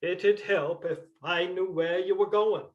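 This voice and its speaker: A laconic country local, acted by a man in a play reading, talks slowly and matter-of-factly in a high-pitched male voice. The sound is a bit dull and lo-fi, and he stays deadpan. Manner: terse, matter-of-fact, deadpan. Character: laconic, unhurried rural Vermont local selling maple syrup. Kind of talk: staged reading of a comic play.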